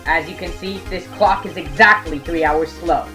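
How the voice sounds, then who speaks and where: An earnest young male defense attorney played by a fandub actor, sharp and dogged, declaiming arguments in game courtroom style. Music plays behind the voice. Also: medium pitch, moderate pace, natural voice. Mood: assured.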